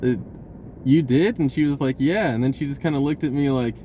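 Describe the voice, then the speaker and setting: Casting: man, mid-pitched voice, casual vlog storyteller, excitable and self-interrupting, slight background noise. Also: a little fast. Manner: blurting, self-interrupting, stammering over phrases; excitable, re-enacts conversations in quoted speech. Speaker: personal storyteller. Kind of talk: casual rambling vlog monologue.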